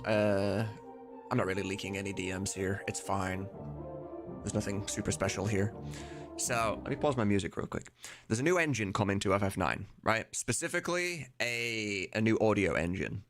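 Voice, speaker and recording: Low-voiced man streaming over game music. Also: lively intonation, normal speed. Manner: informal, sweary, thinking aloud. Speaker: streamer and voice mod creator. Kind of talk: casual live stream chat. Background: video game music.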